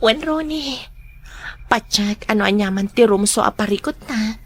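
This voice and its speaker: A woman acting a grieving young woman in an Ilocano radio drama, speaking softly and sincerely with an Ilocano accent. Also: mid-range voice, moderate pace, no echo, close to an everyday voice. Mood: tender.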